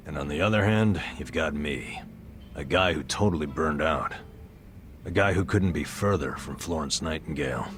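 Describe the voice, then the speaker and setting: A man's voice: extremely low pitch, background noise. An actor playing a burned-out, middle-aged former nurse in a video game, telling his story with an American accent and a wry, self-deprecating weariness. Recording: music behind the voice.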